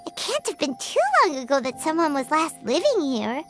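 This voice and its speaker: Very high, bouncy little creature companion, jumpy and dramatic; game music behind. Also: female voice, big pitch swings, fairly quick. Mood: puzzled. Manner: speaks of herself in third person, dramatic and jumpy. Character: tiny floating female companion creature, excitable. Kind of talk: video game voice acting.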